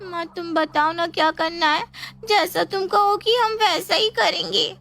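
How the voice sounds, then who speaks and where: A woman's voice: extremely high pitch, steady tone, a little slow, gravelly, fairly bright tone, pitched above the performer's own voice, heavily acted. A woman voicing a timid young daughter in a storybook drama, with a whiny, pleading manner. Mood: anxious, submissive. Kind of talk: Hindi moral storybook drama.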